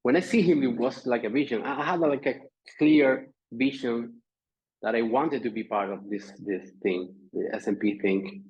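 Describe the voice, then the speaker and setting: A Spanish man with a Spanish accent, speaking as a podcast guest. His voice is husky and comes through a slightly muffled remote line. He talks casually and pauses to search for words. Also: mid-range voice, darkish tone. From Spain, Andalusia. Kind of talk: casual interview chat. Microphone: remote call.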